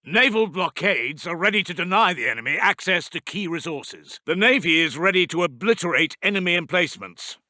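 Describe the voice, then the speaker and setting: A man voicing a brisk, dutiful British Second World War intelligence officer for a video game, with clipped, formal, urgent military reporting. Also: sits high, sharp tone, no echo, clean studio sound, full character voice. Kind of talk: scripted military game voice lines.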